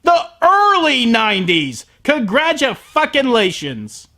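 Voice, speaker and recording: Male reviewer, lively swooping delivery, sarcastic and profane rants. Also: extremely high pitch, slow. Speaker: anime reviewer.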